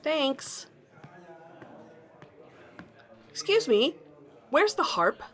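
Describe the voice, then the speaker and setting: A woman's voice: high voice, sing-song, takes it slowly, fairly bright tone, full character voice. A woman playing a brazen, coolly menacing intruder who makes threats politely in an audio drama. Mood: breezy.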